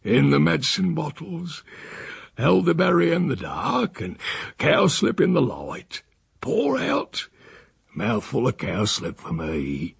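A crafty, bedridden old countryman wheezes out his words in a slow, gravelly drawl, as a man puts him on. The voice is deeply raspy and breathy, pitched higher than the reader's own. It sounds close and dry. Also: huge pitch swings, no room sound, full character voice. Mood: sly. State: short of breath. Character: wily bedridden old countryman uncle, gruff.

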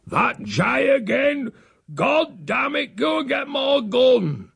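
A crafty, bedridden old countryman wheezes out his words in a slow, gravelly drawl, as a man puts him on. The voice is deeply raspy and breathy, pitched higher than the reader's own. It sounds close and dry. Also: wildly swooping pitch, no room sound, full character voice. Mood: furious. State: short of breath. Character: wily bedridden old countryman uncle, gruff.

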